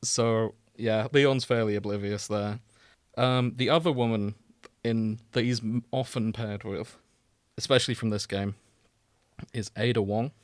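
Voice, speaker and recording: A man's voice: on the low side, steady tone, measured pace, no echo, clean studio sound. A British man explaining things in a casual, wry, informal podcast chat, sometimes sweary. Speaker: podcast host explaining a video game.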